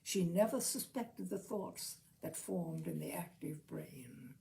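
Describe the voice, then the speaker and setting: A woman narrating a literary short story expressively, with measured, careful phrasing. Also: fairly low pitch, animated, creaky, mellow, a little hiss or hum. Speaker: audiobook reader, storyteller.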